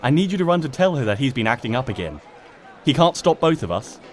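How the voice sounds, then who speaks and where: A man's voice: medium pitch, very animated, fast, natural voice. A man voicing a flustered, indignant young female cartoon pony who is easily exasperated. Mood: urgent. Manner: stammering and muttering, snapping back at a narrator.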